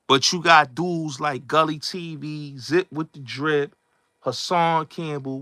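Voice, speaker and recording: A man in his late twenties talks straight to his viewers, leaning on emphasis and saying the same points again and again. His tone is bright and forceful. The recording is dry and close, with no room sound. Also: medium pitch, no room sound. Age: late 20s. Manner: emphatic, repetitive, addresses viewers directly. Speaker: host. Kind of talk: casual livestream commentary. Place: New York.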